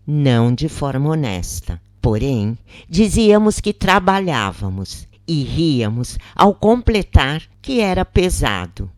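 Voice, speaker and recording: A woman with a Brazilian accent reading a scripted story in a measured, deliberate audiobook style. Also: low-pitched, darkish tone, dry recording, studio quality. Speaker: channel narrator reading a book.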